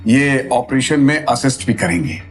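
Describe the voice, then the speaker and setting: A man's voice: medium pitch, expressive, moderate pace, soft background music, natural voice. A man playing a serious, businesslike hospital doctor in an audio drama, a little hesitant. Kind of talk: Hindi audio drama acting.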